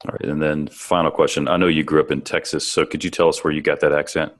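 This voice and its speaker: A man's voice: rumbling low, little pitch movement, fast talker, slight background noise. A man hosting a webinar, upbeat and reading out audience questions to his guest. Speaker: webinar host, moderator. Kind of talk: moderating a webinar Q&A.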